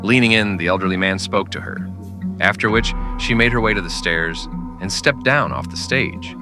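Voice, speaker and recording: A man narrating a scripted western in old-time radio style, with dramatic, deliberate storytelling. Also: deep voice, music in the background.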